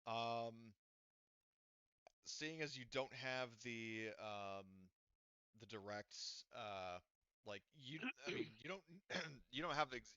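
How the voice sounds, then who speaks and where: A man's voice: medium pitch, pushed and bright, clear, resonant tone, no room sound, highs cut off. A man running a tabletop game as game master, talking casually with lots of restarts and fillers. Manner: halting, many restarts and fillers.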